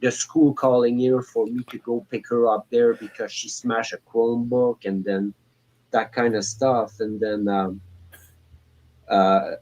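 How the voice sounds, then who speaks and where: Guy in his 40s calling in, keeps restarting his sentences, middle pitch. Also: flat delivery, a little mellow, highs cut off. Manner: halting, many restarts and fillers. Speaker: caller. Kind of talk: casual call-in chat.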